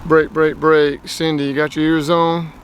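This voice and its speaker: A man's voice: fairly high pitch, flat delivery, unhurried, slight background noise. A man with a plain-spoken, folksy way of talking, giving a casual hands-on gear review outdoors. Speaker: product reviewer.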